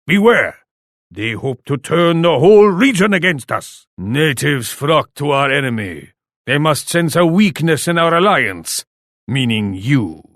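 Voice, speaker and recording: An actor playing a proud, ruthless Japanese warlord in a video game, speaking in an imperious, formal manner. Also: male voice, a little high, gravelly, a bit bright, no echo, clean studio sound, exaggerated voice. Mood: stern warning. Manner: imperious, formal, speaks of himself in third person. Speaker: video game faction leader. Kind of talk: scripted video game voice lines.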